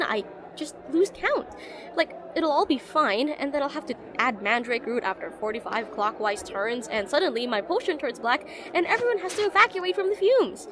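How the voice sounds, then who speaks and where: A woman's voice: high-pitched, animated, moderate pace. A woman playing a shy, rambling young witch student in a slice-of-life fantasy audio roleplay, chatty, hesitant and self-deprecating. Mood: sheepish, playful. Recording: voice over busy classroom crowd ambience.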